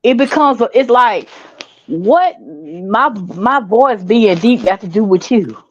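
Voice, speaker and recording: A woman guest with a deep voice, speaking emphatically in a casual panel talk. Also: animated, takes it slowly, muffled recording. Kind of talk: casual debate chat.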